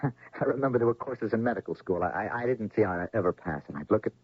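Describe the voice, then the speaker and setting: An actor playing an earnest, troubled doctor in a radio drama, speaking in an insistent, halting, questioning way. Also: male voice, on the low side, animated, slightly rough. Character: earnest, troubled male doctor haunted by lost father. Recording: dull, lo-fi old radio recording.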